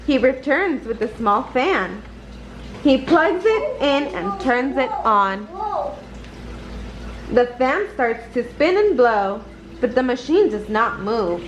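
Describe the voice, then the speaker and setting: Slow-paced woman reading a children's storybook. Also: a little high, dull audio, noisy background. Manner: deliberate, expressive storytelling for young children. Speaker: storybook reader. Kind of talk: children's picture book read-aloud.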